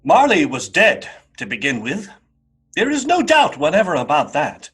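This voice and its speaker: A man narrating a radio play as a theatrical storyteller, wry and emphatic, with a husky voice. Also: on the high side, huge pitch swings, normal speed, fairly bright tone, soft background music, exaggerated voice.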